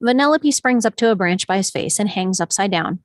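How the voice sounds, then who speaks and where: A woman reading scripted stage directions as narrator at an animated film table read. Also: medium pitch, a little fast, no echo, clean studio sound.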